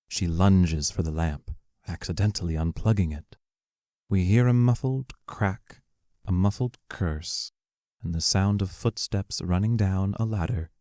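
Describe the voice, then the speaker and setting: A low-pitched man acting as narrator reads scripted stage directions for an audio drama. He is measured and matter-of-fact, and the sound is close and dry. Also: a little mellow, no room sound.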